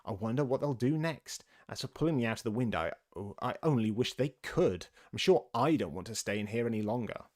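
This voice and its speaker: A man's voice: medium pitch, animated, brisk, few pauses, a little mellow, natural voice. Man reading as Alice, a musing plucky girl. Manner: musing, self-assured.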